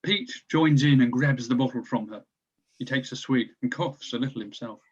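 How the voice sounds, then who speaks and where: Husky male stage-direction narrator. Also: medium pitch, normal speed, lo-fi sound. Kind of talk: scripted narration for a table read.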